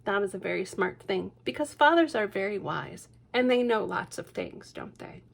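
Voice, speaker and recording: A woman librarian reading a picture book aloud to children in a gentle, hushed manner, with whispered asides. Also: mid-range voice, sing-song, moderate pace, vocal fry. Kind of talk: storytime read-aloud for children.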